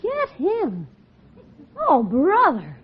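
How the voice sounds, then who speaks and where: An actor playing a brash, cheeky schoolboy nephew in a 1940s radio comedy. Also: male voice, very high-pitched, wildly swooping pitch, very slow, full character voice. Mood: cheeky, mocking. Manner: cheeky, exclamatory. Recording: muffled 1940s radio recording.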